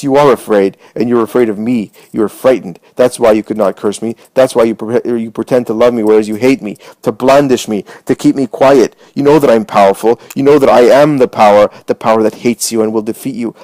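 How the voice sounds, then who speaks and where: A man reading aloud voices a furious, scornful male traitor, hoarse and panting as he shouts accusations. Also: mid-range voice, no room sound, natural voice, low-quality recording.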